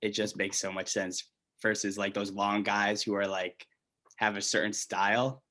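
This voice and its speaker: Enthusiastic man with a lowish voice. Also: little pitch movement, normal speed, sharp tone. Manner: enthusiastic, informal. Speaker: participant in a coaching call. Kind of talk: casual chat.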